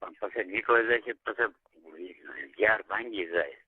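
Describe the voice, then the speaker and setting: A man's voice: low-pitched, animated, slow pace, slight background noise. A man chatting casually and privately on a phone call. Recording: muffled tinny phone call recording.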